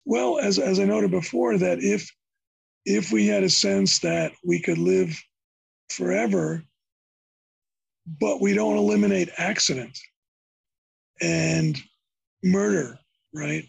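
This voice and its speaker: An older man talks as a podcast guest in a gravelly, rough-edged voice. His tone is somewhat high for a man and very husky. He explains his ideas in a meandering way and keeps circling back to restart a phrase. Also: takes it slowly, pauses often, low-quality recording. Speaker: interview guest, psychology professor. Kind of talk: conversational podcast interview.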